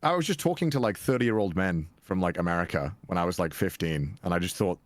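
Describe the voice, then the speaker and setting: A young Welsh man being interviewed, telling stories from his past in a loose, self-deprecating way. His voice sits very low, and the recording is close and dry with no room sound. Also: expressive, quick pace, no room sound. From Wales. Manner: chatty, self-deprecating, frequent restarts and laughs. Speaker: guest. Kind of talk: casual interview chat.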